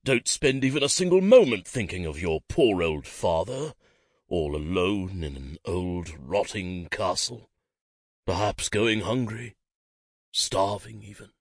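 A man's voice: low-pitched, expressive, unhurried, gravelly, dry recording, clean studio sound, exaggerated voice. A man voicing a scheming older nobleman who plays up his self-pity in a wheedling way. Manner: wheedling, melodramatic.

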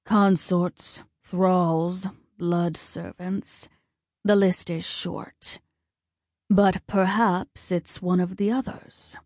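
Woman voicing a slow, solemn god of war. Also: fairly low pitch, steady tone, darkish tone, no echo, clean studio sound. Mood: solemn, commanding. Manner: formal, solemn, measured. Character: commanding, cold, solemn male war god and father.

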